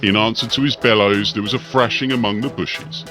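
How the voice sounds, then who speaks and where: A man's voice: lowish voice, fairly even intonation, brisk, over music. A man narrating a scripted fantasy story dramatically as an audiobook reader.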